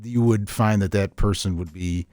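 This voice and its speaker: Middle-aged male co-host, fairly low pitch, close dry sound, joking and rambling, loose unscripted talk. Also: fairly even intonation, normal speed, warm tone, no echo. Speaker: podcast co-host. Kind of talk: casual unscripted chat.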